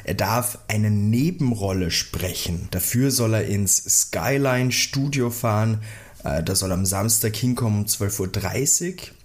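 A man's voice: fairly low pitch, a little slow. A man with an Austrian accent co-hosting a podcast, chatting and retelling a story in a colloquial, teasing, joking way. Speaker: podcast co-host and episode storyteller. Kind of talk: casual podcast chat and retelling.

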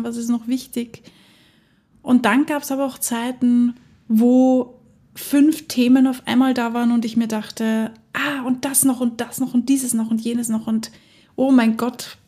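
Female podcast host, mellow tone, relaxed unhurried delivery, upbeat solo chat. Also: on the high side. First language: German. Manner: chatty and enthusiastic, laughs often. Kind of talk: casual solo podcast monologue.

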